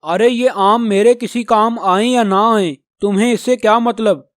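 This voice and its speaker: A man's voice: high-pitched, fairly even intonation, a little slow, dry recording, clean studio sound. A man playing a proud, grudging talking mango tree in a children's cartoon, speaking emphatically. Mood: grudging, stern.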